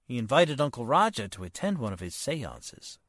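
A polite, worried gentleman in an old-time radio play, acted by a man. He speaks formally and haltingly, his pitch rising and falling widely, in a dry, close recording. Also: very animated, no echo. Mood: troubled. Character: polite, hesitant, worried nephew of a wealthy man. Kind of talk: 1940s radio drama acting.

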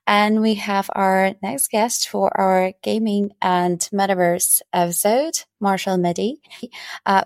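A woman's voice: medium pitch, a little mellow, dry recording, studio quality. A woman co-hosting a podcast, politely welcoming and introducing a guest. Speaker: podcast co-host. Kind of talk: interview hosting.

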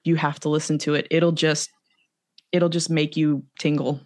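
A woman's voice: sits low, level tone, moderate pace. A woman who grew up speaking Spanish, chatting casually and gushing with enthusiasm about songs she loves. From Mexico. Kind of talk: casual chat.

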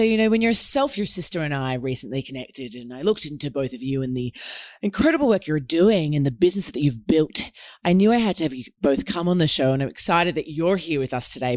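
A woman's voice: low-pitched, fairly quick. A woman hosting a podcast, reading a scripted intro and then chatting enthusiastically with a guest. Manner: enthusiastic, upbeat, animated. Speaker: podcast host.

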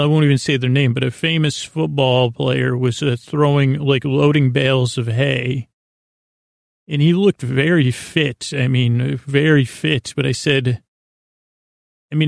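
A man hosting a sleep podcast, talking in a meandering, soft-spoken way full of fillers and restarts. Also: medium pitch, vocal fry, no room sound, studio quality. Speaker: podcast host. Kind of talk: rambling sleep podcast intro.

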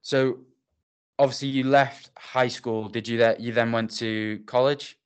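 A man hosting a podcast interview, reflecting in a relaxed way and asking follow-up questions. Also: medium pitch, measured pace, clear, resonant tone. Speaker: podcast host. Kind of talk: casual interview.

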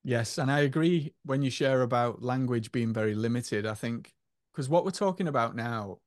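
A man's voice: mid-range voice, normal speed, no room sound, clean studio sound. A man co-hosting a podcast, talking in an earnest, musing way about spirituality and feelings. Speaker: podcast co-host. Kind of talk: casual spiritual chat.

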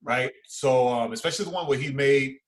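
A man, chatting casually and excitably as a podcast co-host, using slang and repeating phrases for emphasis. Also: medium pitch, a little fast. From Far Rockaway, New York, United States. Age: 40s. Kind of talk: casual fan chat, reviewing and reacting.

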